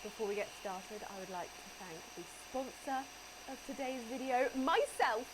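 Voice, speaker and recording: British teacher lady, high-ish clear voice, precise, loud noise under it. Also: normal speed. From Britain. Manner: bright, precise, teacherly delivery. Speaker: online English teacher. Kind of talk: scripted video presenting. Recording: video played through stream, noisy.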